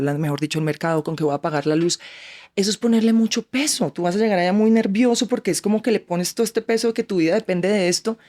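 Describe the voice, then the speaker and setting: Fast-talking Colombian woman, animated. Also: on the low side. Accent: Colombian Spanish. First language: Spanish. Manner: animated, acts out quoted voices. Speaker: actress, guest. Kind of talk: casual interview chat.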